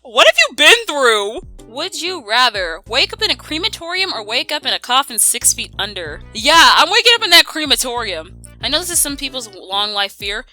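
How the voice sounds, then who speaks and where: A woman's voice: sits high, sing-song, normal speed, few pauses, sharp tone. A young woman hosting a casual fan Q and A, playful and exclamatory with dramatic reactions. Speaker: video host reading and answering fan questions. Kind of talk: casual Q and A banter. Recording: music under the voice. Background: background music.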